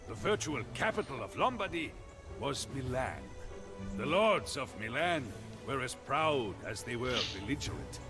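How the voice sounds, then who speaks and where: Male video game chronicler: theatrical, deliberate, relishing each phrase, lively intonation, gravel in the voice, music underneath. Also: fairly high pitch. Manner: dramatic, deliberate, savoring phrases. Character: theatrical male storyteller recounting medieval history with relish. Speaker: narrator. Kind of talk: dramatic scripted storytelling narration for a video game.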